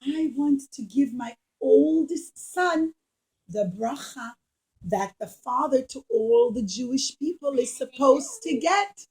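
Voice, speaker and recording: A woman's voice: high-pitched, expressive, takes it slowly, darkish tone, rough recording. A woman voicing an old, loving father in a children's puppet show, speaking solemnly and emphatically as he gives his blessing.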